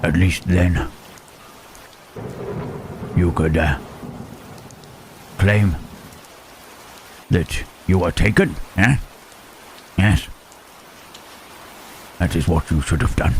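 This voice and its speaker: Gentle lonely creature played by a man, very low voice, slow halting delivery, ASMR roleplay, rain and thunder behind. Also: background noise, heavily acted. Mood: regretful, earnest. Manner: halting, hushed, careful speech with long pauses. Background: rain and thunderstorm ambience.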